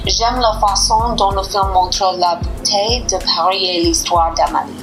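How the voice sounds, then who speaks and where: A woman's voice: mid-range voice, steady tone, a little slow, poor audio. A woman, a beginner student speaking careful, simple French as a podcast guest. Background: background music.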